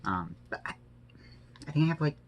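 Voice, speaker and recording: A man with a creaky, fry-laden voice chats casually while working on a craft. He rambles informally and corrects himself along the way. There is clear background noise with small clicks in the recording. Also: fairly high pitch, big pitch swings, a little fast, highs cut off. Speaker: host of a diamond painting vlog.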